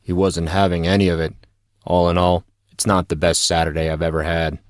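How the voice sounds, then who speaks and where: A man's voice: low-pitched, level tone, fairly quick, no echo, studio quality, lightly acted. A man playing a weary, loyal teenage boy in an audio drama, talking in a casual but serious way.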